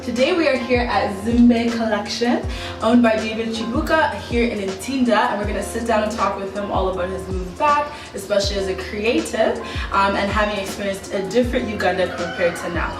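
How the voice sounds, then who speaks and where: A woman hosting a casual, upbeat vlog interview, quick to encourage and agree with her guest. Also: medium pitch. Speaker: host and interviewer. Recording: echoey hall, distant mic, music.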